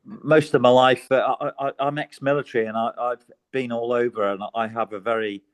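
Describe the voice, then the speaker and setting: Polite British man on a video call, mid-pitched, stumbles over his words. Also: steady tone, brisk. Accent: neutral British. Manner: hesitant, repeats words, polite. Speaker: tour participant asking a question. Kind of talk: casual group video call.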